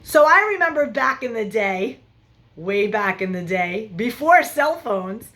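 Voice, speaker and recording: A woman in her forties, a love coach giving frank, animated big-sister advice straight to camera. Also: a little high, a little slow. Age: 40s. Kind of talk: direct-to-camera advice vlog.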